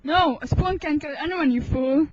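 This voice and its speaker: A high-voiced, impatient doctor, acted by a young woman in an amateur radio drama. She is brusque and evasive, brushing people off. The recording is dull, with faint noise. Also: normal speed, close to an everyday voice. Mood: curt, matter-of-fact. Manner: brusque, dismissive. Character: curt, evasive, irritable hospital eye doctor. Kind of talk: student radio drama acting.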